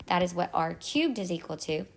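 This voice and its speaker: Female math teacher, 30s, low and gravelly, voice going hoarse, patient step-by-step tutorial delivery. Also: expressive, moderate pace. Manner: talks through calculator steps, self-correcting. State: losing her voice. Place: at home in the evening.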